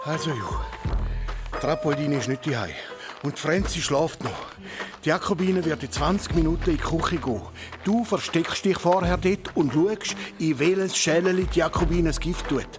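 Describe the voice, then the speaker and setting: A man's voice: on the high side, moderate pace, music in the background, natural voice. An actor, a man, playing a nervous, conspiring family man in a children's radio play. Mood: brisk, plotting.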